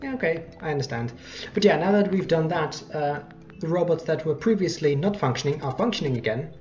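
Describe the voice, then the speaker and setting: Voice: mid-range voice, lively intonation, a little fast, darkish tone. Dull-sounding gamer rambling over faint music. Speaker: gameplay host. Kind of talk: casual let's play commentary.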